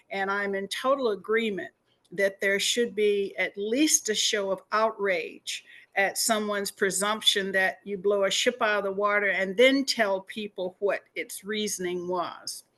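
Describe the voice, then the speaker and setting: An American woman addresses a meeting as its guest speaker. Her voice is medium in pitch with a bright, forceful edge. She talks in a formal, earnest way. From United States. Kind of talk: public talk at a meeting.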